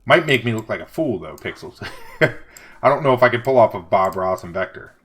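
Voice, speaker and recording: A middle-aged man with a deep voice, chatting casually on a livestream as he draws and answers chat. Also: moderate pace, slightly rough. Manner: relaxed, rambling, reads and answers chat. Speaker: livestreaming vector artist. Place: home studio desk.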